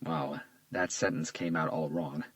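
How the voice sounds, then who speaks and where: A man's voice: sits low, lively intonation, a little fast, a bit bright, no room sound. A male narrator voicing an observant, understated detective who talks in a modest, matter-of-fact way.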